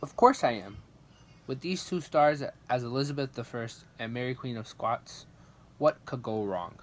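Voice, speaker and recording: A man playing a bossy, smooth-talking station boss in a 1940s radio comedy. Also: mid-range voice, normal speed, a little hiss or hum, lightly acted. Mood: pleading, reassuring.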